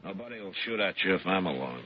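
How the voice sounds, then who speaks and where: An actor playing a steady, authoritative western town marshal, terse and commanding, in radio drama style. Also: male voice, sits low, fast, a crowd in the background. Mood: urgent, restrained. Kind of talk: 1950s radio western acting. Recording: muffled old radio recording with music.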